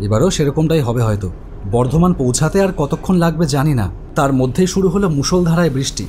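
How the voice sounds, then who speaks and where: A man playing an exasperated husband who runs a trucking business and tells his own story in an animated horror tale, talking conversationally. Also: on the high side, fast talker, slight background noise, natural voice. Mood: resigned. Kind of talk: Bengali animated horror story acting and narration.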